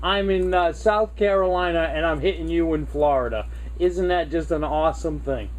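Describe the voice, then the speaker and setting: A man's voice: on the high side, normal speed, slight background noise. An American man chatting casually and courteously in radio jargon as a hobbyist on CB radio.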